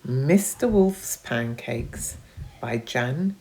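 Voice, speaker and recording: A woman teacher reading a children's story aloud in an expressive, encouraging manner, talking directly to young listeners. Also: rumbling low, extremely slow. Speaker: primary school teacher.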